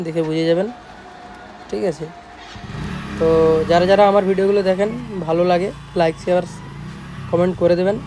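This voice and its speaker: A man's voice: high voice, warm tone, slight background noise. A man with a West Bengal Bengali accent casually showing his repair work as a shop owner, informal and repetitive, often checking in with listeners. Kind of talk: casual product showcase vlog.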